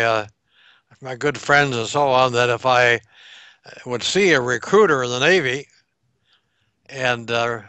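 A man in his nineties, a war veteran, recalling his past slowly over a video call, pausing to get dates right. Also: mid-range voice, bright, forceful tone, clean tone, no echo. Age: 90s. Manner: slow, reflective recollection with pauses to recall dates. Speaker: World War Two veteran interviewee. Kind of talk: oral history interview over video call. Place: home room by a staircase. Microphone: headset on video call.

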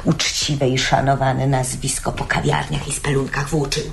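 Creaky, scolding middle-aged mother. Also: a bass voice, flat delivery, moderate pace. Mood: reproachful. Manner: stern, reproachful, self-righteous. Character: stingy, scolding bourgeois mother and landlady. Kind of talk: radio play acting. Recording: echoey room, noisy old radio recording.

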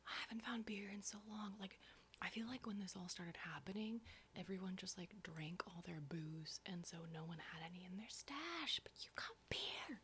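A woman's voice: mid-range voice, a bit bright, slight background noise, close to an everyday voice. A woman playing a brash, wisecracking zombie-apocalypse survivor in a whispered ASMR horror roleplay, casual, rambling and sweary. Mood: excited.

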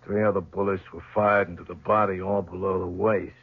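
An actor playing a practical police assistant, plain and matter-of-fact, in a 1970s radio drama. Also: male voice, sits low, little pitch movement, brisk, husky. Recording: muffled old radio broadcast.

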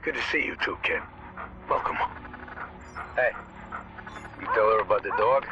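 A man's voice: lowish voice, moderate pace, highs cut off, noisy background, lightly acted. An actor playing a fatherly older survivor leader in a video game, blunt, teasing and caring. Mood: teasing, warm. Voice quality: gravelly. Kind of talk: video game cutscene acting.

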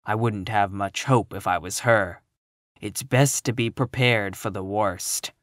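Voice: low-pitched, a little slow, no room sound, clean studio sound, pitched below the performer's own voice. A narrator voicing a grim, sour, scornful spinster in her fifties from a storybook, full of gloom and contempt. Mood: gloomy, retorting. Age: 50s. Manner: grim, scornful, flat pronouncements.

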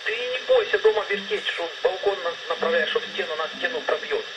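A man's voice: sits high, huge pitch swings, a little fast. A man talking casually and colloquially as an amateur operator, heard over a two-way radio. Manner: colloquial, informal advice-giving. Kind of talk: casual ham radio chat. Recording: very noisy two-way radio transmission.

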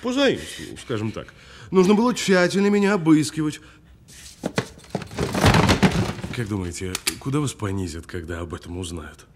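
An actor playing a cool, sardonic detainee who needles a policeman with deliberate, mocking delivery in an audio drama. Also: fairly low pitch, wildly swooping pitch, very noisy. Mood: mocking, menacing. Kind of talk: naturalistic audio film drama acting.

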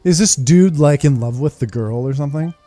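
Man in his thirties, relaxed mellow voice, casual gaming commentary, faint music. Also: on the high side, fairly quick. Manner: informal, rambling, mild swearing. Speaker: gaming streamer. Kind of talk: casual game playthrough commentary. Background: game music.

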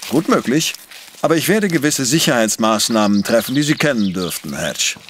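An actor playing an arrogant, brilliant professor detective in an audio drama, speaking in a pompous, precise, lecturing way. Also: male voice, mid-range voice, big pitch swings, moderate pace, fairly bright tone, a little hiss or hum. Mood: confident, secretive. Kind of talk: German audio drama acting.

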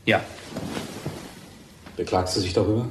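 An actor playing an anxious, defensive widower in a crime radio drama, with an irritable manner. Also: male voice, fairly low pitch, steady tone, a little slow, roomy sound, a little hiss or hum, close to an everyday voice. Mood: irritable, dismissive. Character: defensive, anxious unfaithful widower fearing police suspicion.

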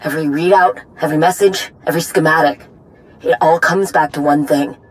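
A woman acting a brisk, proud, no-nonsense warrior in a video game, with a blunt, clipped, dry manner. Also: deep voice, steady tone, close to an everyday voice, rough recording. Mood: urgent.

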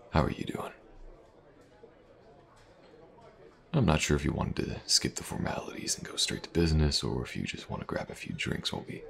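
Very deep, gravelly mercenary played by a man. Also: full character voice. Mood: laid-back, friendly. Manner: laid-back, musing, unhurried with pauses and soft chuckles. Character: tough, easygoing male mercenary warrior for hire. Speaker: voice actor. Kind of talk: fantasy audio roleplay, intimate conversational acting.

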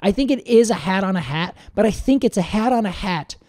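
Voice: mid-range voice, expressive, brisk, a little mellow, dry recording, studio quality. A podcast host chatting casually and explaining things in an informal, enthusiastic way.

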